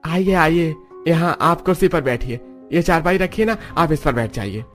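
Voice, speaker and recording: A man's voice: a little high, lively intonation, moderate pace, fairly bright tone, pitched above the performer's own voice. A man voicing a humble, apologetic poor village father hosting guests in a cartoon. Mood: welcoming. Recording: background music under the voice.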